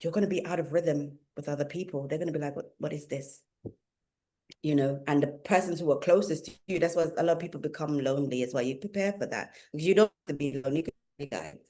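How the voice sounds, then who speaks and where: A woman's voice: low voice, quick pace. Woman, Jamaican accent, guest, spiritual teacher, casual chat, playful, laughing. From England. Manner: laughs often, playful and animated. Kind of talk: casual conversation.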